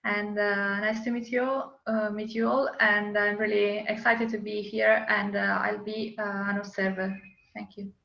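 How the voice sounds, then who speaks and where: Gravelly, bright young Italian woman. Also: medium pitch, little pitch movement, a little slow, echoey room. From Italy. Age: 20s. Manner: hesitant, with fillers. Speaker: observer. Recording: muffled call with faint noise.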